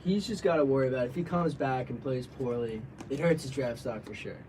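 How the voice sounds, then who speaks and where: A man co-hosting a casual sports debate, talking excitedly and restarting his sentences as he hypes players. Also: medium pitch, brisk, far from the mic, a little hiss or hum, rough recording. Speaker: co-host.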